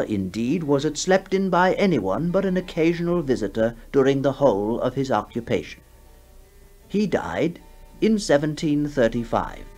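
Animated male radio storyteller over music. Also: mid-range voice. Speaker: narrator. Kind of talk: scripted story narration, 1960s radio drama. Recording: 1960s radio recording with music.

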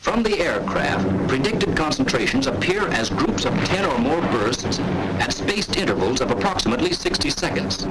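A man giving scripted, measured and authoritative narration for a 1940s military training film. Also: medium pitch, very noisy.

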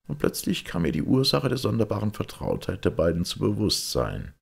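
A man reading a detective story aloud as an audiobook narrator, expressive and measured, with a gravelly, husky voice. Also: extremely low pitch. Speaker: audiobook reader.

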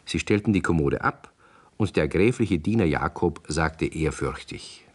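A male narrator tells a story in 1960s radio play style. His voice is deep and low, and the delivery is scripted storytelling. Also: animated, measured pace.

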